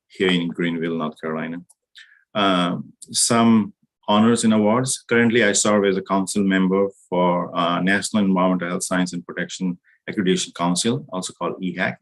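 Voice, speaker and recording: A middle-aged man from Nepal giving a university lecture over a video call, talking in a measured way with many hesitations. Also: low voice, a bit bright, rough recording. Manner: measured, hesitant with frequent uh fillers. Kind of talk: online lecture over slides.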